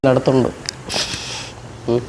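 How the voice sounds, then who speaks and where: A man's voice: takes it slowly, loud music behind the voice, low-quality recording. A man chatting casually and playfully as a prank host, teasing and repeating his questions insistently.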